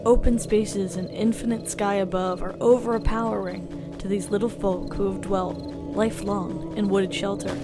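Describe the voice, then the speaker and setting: A woman narrating a fantasy tale in a measured, solemn and dramatic storybook style. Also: mid-range voice, close to an everyday voice. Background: loud music.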